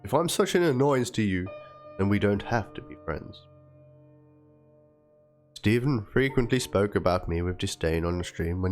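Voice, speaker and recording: A man with a fairly low voice performs a dramatic reading of a written document. He narrates it theatrically. Faint music plays behind his voice. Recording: music under the voice. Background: background music.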